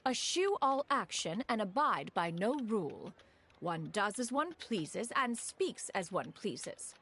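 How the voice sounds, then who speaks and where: A woman's voice: medium pitch, big pitch swings, takes it slowly, a bit bright, husky, heavily acted. A woman voicing an ancient, proud female crane spirit in a game cutscene, speaking in an archaic, formal way and calling herself "one". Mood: lofty.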